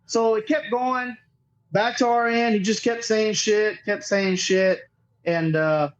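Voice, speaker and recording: A man's voice: high-pitched, steady tone, slow pace, low-quality recording. A man being interviewed as a podcast guest, telling a story casually in a rambling, profane way and often quoting others. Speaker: podcast guest, cornhole player.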